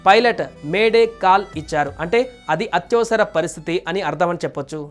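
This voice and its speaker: A man's voice: high-pitched, a little fast. A man reading a scripted news voice-over as a narrator. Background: background music.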